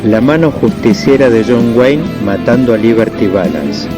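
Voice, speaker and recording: A man reads a scripted podcast intro at a middle pitch, measured like an announcer. Loud plucked guitar music plays behind him. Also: big pitch swings.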